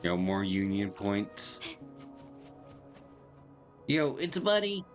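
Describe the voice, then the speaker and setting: A man's voice: fairly low pitch, big pitch swings, slow, faint music behind. A man giving casual gameplay commentary and reading dialogue aloud as he streams. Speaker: streamer playing a game.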